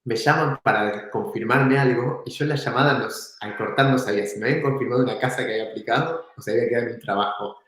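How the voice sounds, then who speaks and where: A man's voice: mid-range voice, fast talker, hardly any pauses. Argentine-sounding man in a very echoey room. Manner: relaxed, reflective, laughs now and then. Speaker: entrepreneur guest. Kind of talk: casual storytelling in an interview.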